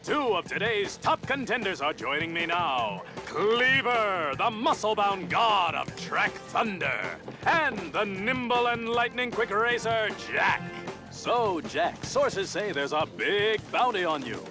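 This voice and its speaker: Brash showman race announcer, high swooping voice, theatrical, music behind. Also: expressive, takes it slowly. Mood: slick, needling. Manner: bombastic, slick, theatrical. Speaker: sports show host. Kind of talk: over-the-top sportscast hosting.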